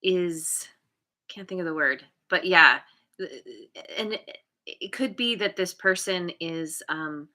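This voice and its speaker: A woman's voice: lowish voice, slow, bright, forceful tone. A woman giving a casual tarot reading, talking in a hesitant way with many fillers and restarts and laughing at herself now and then. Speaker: tarot reader.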